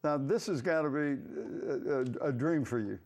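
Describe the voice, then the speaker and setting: A man's voice: medium pitch, normal speed, darkish tone. A man hosting a conversational local sports interview, feeling his way through questions with repeated words. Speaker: sports talk show host.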